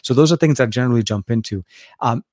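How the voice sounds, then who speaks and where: A man's voice: mid-range voice, fast, a little mellow, no echo, clean studio sound. A man from Lebanon with a Lebanese accent, hosting a casual live question-and-answer stream about phones and cameras, talking loosely with many fillers and restarts. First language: Arabic. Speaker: tech channel host answering live chat.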